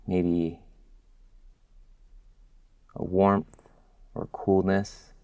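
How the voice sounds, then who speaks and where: A man with a low voice leads a guided meditation at a very slow pace. His phrasing is gentle and instructional, with long pauses, and his intonation stays flat and even. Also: dry recording, dull audio. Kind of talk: guided body-scan meditation.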